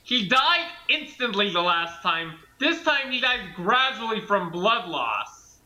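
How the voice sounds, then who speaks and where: A man with a high, piercingly bright voice, recorded with noticeable room echo. He comments casually, arguing his points in earnest. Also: very animated, slow pace. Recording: echoey room sound.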